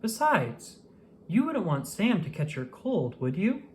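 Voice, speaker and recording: A storybook reader voicing a gentle, caring man who soothes and reassures his little companion. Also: mid-range voice, very animated, unhurried, a little hiss or hum, voice pushed up. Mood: kindly firm.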